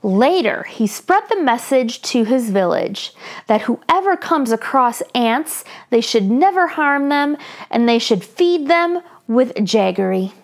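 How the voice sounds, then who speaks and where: A woman narrates a children's story with an expressive delivery. Her voice sits high and moves around a lot in pitch. She takes her time, phrasing things deliberately for young listeners. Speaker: children's story reader, channel host.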